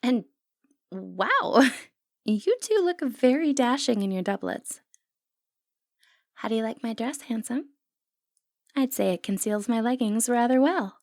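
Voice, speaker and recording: A woman's voice: a little high, expressive, unhurried, pauses often, a little mellow, no room sound, clean studio sound. A woman acting a sly, flirtatious thief in a fantasy ASMR roleplay, with a teasing, confident, wry way of talking. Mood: playful, flirtatious.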